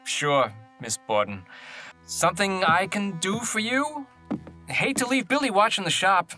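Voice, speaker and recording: A woman's voice: mid-range voice, expressive, unhurried, bright, forceful tone, faint music behind. A woman playing a wary, hard-working small-town butcher in a comic audio play, folksy, hesitant and politely plain-spoken. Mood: polite, weary.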